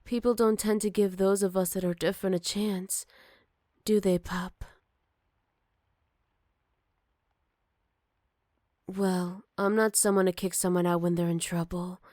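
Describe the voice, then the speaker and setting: Motherly she-wolf, played by a woman, older, protective, tender and unhurried. Medium pitch, intimate dry ASMR roleplay delivery. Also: dry recording, lightly acted. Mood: gentle, curious. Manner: soft, caring, unhurried, slipping into whispers. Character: gentle motherly older female werewolf, protective and wistful. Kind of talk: ASMR roleplay, intimate one-sided dialogue to listener.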